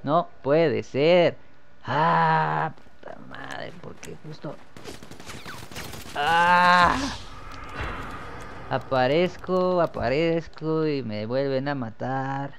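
A young man with a Mexican accent doing excitable live commentary over a shooter game, cursing whenever he gets killed. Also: a little high, extremely slow, gravelly, long pauses, slight background noise. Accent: Mexican Spanish. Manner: excitable, swears when killed. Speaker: gameplay commentator.

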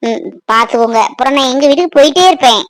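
A woman's voice: high voice, animated, very fast. A woman playing a resentful, defiant daughter-in-law in a family drama, complaining sardonically. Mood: defiant complaint.

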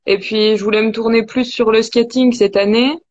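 A woman's voice: a little high, steady tone, moderate pace, darkish tone, a little hiss or hum. A woman, answering interview questions casually as an athlete over a video call. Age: 20s. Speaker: athlete being interviewed, cross-country skier. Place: indoors in a wood-panelled room. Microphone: video call, device at arm's length. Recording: dull lo-fi video call sound.

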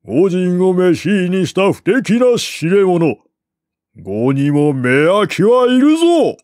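A man voicing a brash, shabby ronin in a historical novel, loud and theatrical. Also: high-pitched, big pitch swings, takes it slowly, no room sound, clean studio sound, pitched above the performer's own voice, heavily acted. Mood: menacing. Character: brash shabby short ronin, shouting threats.

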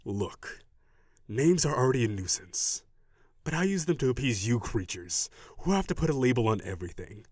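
A man voicing a proud, gruff tsundere dragon warrior in an audio roleplay, brusque and defensive with grudging sighs. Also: mid-range voice, sing-song, moderate pace, darkish tone, no echo, studio quality. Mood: indignant, defensive.

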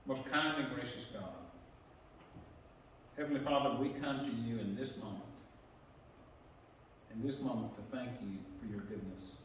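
Middle-aged pastor in an echoey sanctuary. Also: male voice, mid-range voice, steady tone, distant mic, slight background noise. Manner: plain-spoken, halting with fillers. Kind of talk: church prayer time and pastoral prayer. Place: large church sanctuary. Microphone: pulpit mic, camera far back.

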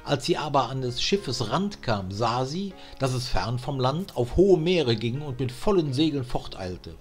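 A man reading a fairy tale as an audiobook narrator, in an expressive storytelling manner. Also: mid-range voice, unhurried, faint music behind. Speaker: audiobook reader.

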